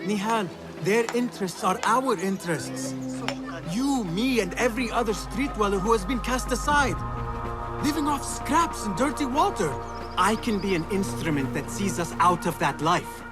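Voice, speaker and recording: An actor playing an ambitious, idealistic young street thief in a video game, speaking in formal, earnest phrases. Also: male voice, high-pitched, normal speed, hardly any pauses, lightly acted. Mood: earnest, determined. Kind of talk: dramatic video game voice acting. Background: music.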